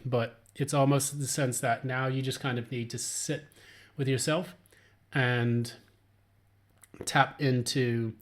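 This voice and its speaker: A man with a hoarse, recovering voice giving a casual, rambling solo tarot reading, with many filler words. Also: medium pitch. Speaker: tarot reader.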